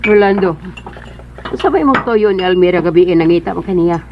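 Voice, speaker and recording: A woman's voice: on the low side, animated, a little fast. A woman acting a suspicious, insistent bride-to-be in a radio drama, with a Cebuano Filipino accent. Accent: Filipino, Cebuano-speaking. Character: suspicious, insistent bride-to-be questioning her man. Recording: dull band-limited radio drama audio.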